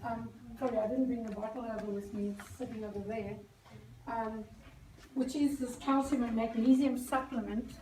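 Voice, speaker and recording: A South African woman with a slightly high voice talks informally to an audience, explaining as she goes and often pausing on "um". She is picked up from well off the mic in an echoey indoor venue, with noticeable noise around her. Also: a little mellow. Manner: conversational, explaining with many ums and self-corrections. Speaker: speaker at a celebration event. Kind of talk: informal talk to a live audience. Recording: echoey room, mic far off, noisy.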